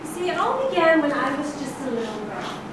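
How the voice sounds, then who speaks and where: A young woman gives a rehearsed, humorous storytelling speech in a theatrical, expressive way, with dramatic pauses. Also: high-pitched. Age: young adult. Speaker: public speaker at a speaking club. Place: indoor meeting room. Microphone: far camera. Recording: echoey room, distant mic, loud background noise.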